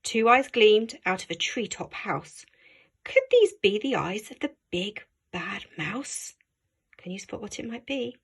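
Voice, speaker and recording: A woman reading a children's story aloud as a teacher, expressive and dramatic, engaging young listeners. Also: mid-range voice, a little slow, breathy edge.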